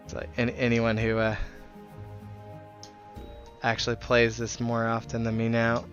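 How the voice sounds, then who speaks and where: A man's voice: mid-range voice, monotone, a little slow, highs cut off. A man live streaming a game, chatting casually and in a friendly way with viewers as he plays. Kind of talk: casual game livestream chat. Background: music.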